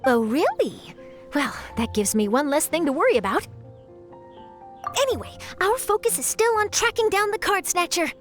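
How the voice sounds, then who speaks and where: A woman's voice: extremely high pitch, sing-song, normal speed, soft background music. A woman playing an eager young female journalist in an anime video game, perky, articulate and inquisitive. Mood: upbeat, determined.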